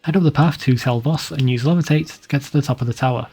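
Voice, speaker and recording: A man's voice: medium pitch, fast talker, creaky, darkish tone, breathy edge, soft background music. A man reading a scripted video game walkthrough in a plain, informal, instructional way. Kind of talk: scripted guide narration.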